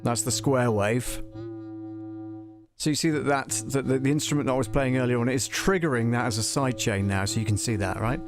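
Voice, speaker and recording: A man's voice: mid-range voice, brisk, over music. A man hosting a live tutorial in a casual, rambling, self-deprecating way. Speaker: host of a live audio production tutorial.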